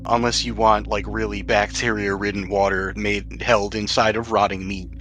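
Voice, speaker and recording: A man's voice: on the low side, flat delivery, moderate pace, a bit bright, a little hiss or hum. A man casually explaining situations and options as game master of a tabletop game.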